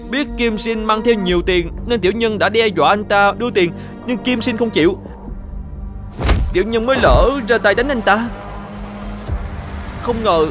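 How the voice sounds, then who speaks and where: A frightened, lowly suspect in an animated folk tale. His voice is very high and swoops as he pleads servilely and haltingly, with music underneath. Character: frightened, pleading male commoner accused of murder. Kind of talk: cartoon folk-tale dubbing.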